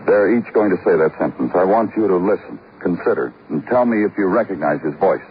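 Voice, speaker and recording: An actor playing a terse, hard-boiled New York police detective, dry and world-weary, in 1950s radio noir style. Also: male voice, low-pitched, expressive, brisk, slight background noise. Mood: sober, measured. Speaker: lead character and narrator. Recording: tinny, band-limited 1950s radio recording.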